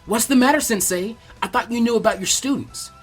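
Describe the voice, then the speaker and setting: A man's voice: extremely high pitch, fairly quick, husky, soft background music, voice pushed up. A man voicing a brash, cocky boy ninja trainee in an anime fan story. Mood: cocky, excited.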